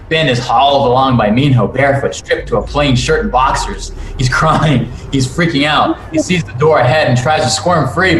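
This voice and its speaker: A man with a medium-pitched voice reads stage directions as dramatic scripted narration. He is recorded in an echoey room. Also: a bit bright. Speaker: narrator reading stage directions at a table read.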